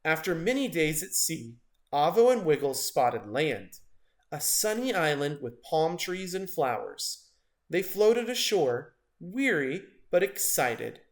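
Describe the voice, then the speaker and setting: Male storybook narrator, fairly high-pitched, highly varied intonation, dramatic children's read-aloud. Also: big pitch swings, takes it slowly.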